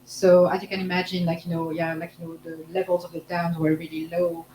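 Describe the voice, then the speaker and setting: A woman's voice: fairly low pitch, little pitch movement, fairly quick, warm tone. A woman from France with a French accent, talking as a marketing panelist and scattering "like, you know" through her sentences. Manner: heavy use of "like, you know" fillers. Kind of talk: panel discussion. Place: conference panel room. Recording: echoey room, faint hum.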